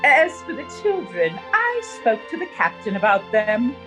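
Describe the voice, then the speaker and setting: An actress playing a snobbish, scolding older aunt in a prim, fretful manner, in 1930s radio drama style. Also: female voice, fairly high pitch, wildly swooping pitch, moderate pace, a bit bright. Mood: haughty, fussy. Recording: 1930s radio recording with music.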